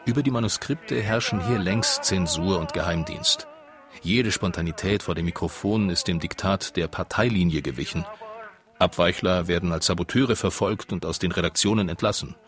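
A man narrating a scripted radio documentary. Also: sits low.

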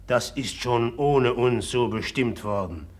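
A man's voice: medium pitch, takes it slowly, natural voice. An actor playing a jealous, tormented young man in a 1950s German radio drama, brooding and bitter with sudden agitated outbursts. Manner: brooding, swings from whispering bitterness to bursts of agitation.

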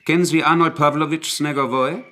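An actor playing a young police investigator in a radio play, putting formal, clipped, insistent questions. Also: male voice, fairly high pitch, fairly even intonation, fairly quick, pushed and bright. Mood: probing.

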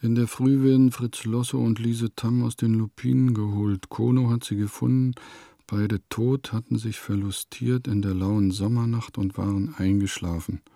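Radio play chronicler, low gravelly voice, mellow, terse, wry, note-like delivery. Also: male voice, no room sound, clean studio sound. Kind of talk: scripted radio play narration.

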